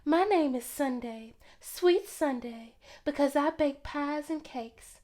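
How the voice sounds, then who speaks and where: A woman voicing a small, sweet, energetic nanny from a children's storybook, eager and birdlike. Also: high-pitched, slow pace, pitched above the performer's own voice. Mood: sweet, cheerful.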